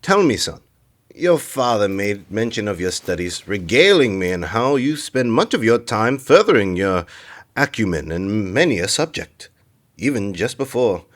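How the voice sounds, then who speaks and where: Skeptical king, low, expressive. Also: normal speed. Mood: imperious. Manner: commanding, skeptical. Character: impatient king, grave and probing.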